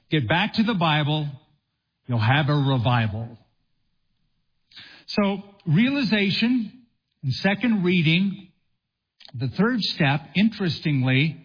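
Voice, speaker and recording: A male pastor delivers a sermon in a fairly high, husky voice. He phrases things deliberately and emphatically, often posing rhetorical questions and taking his time. The recording is a little dull and muffled. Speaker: pastor preaching. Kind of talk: expository sermon to a congregation.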